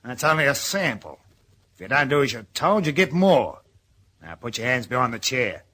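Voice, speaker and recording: An actor in an old-time radio drama playing a bossy, scheming, scornful kidnapper with an Australian accent and a husky voice. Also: male voice, mid-range voice, big pitch swings, normal speed, pushed and bright. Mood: menacing.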